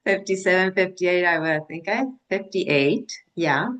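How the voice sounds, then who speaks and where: A woman in her fifties from Mexico, a menopause wellness coach, talking on a video call in a halting way, with many restarts and fillers. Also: fairly low pitch, a bit bright. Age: 50s. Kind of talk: casual video-call conversation.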